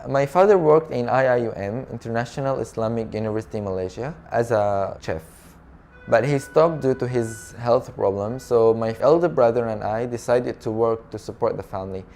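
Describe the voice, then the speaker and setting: A young man from Syria who speaks natively tells his story as a refugee in a documentary interview, soft, halting and earnest in English. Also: mid-range voice, soft background music. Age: young adult.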